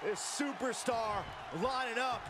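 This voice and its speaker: A man playing an animated, opinionated color commentator in a wrestling video game, emphatic and reactive. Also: very high voice, measured pace, crowd noise. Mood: eager. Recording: loud noisy arena background. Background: loud arena noise.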